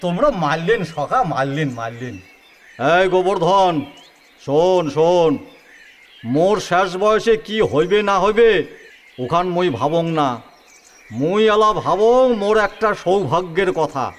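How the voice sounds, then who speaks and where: A man playing an excitable elderly village friend in a rural audio drama, bantering with loud exclamations. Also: high-pitched, a little slow.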